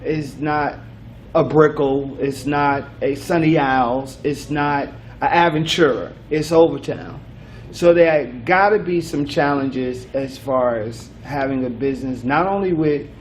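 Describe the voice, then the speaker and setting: Man, talk show host, rambling, restarts, slightly high voice, faint room noise. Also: darkish tone. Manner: loose, rambling, restarts often. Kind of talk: casual interview chat.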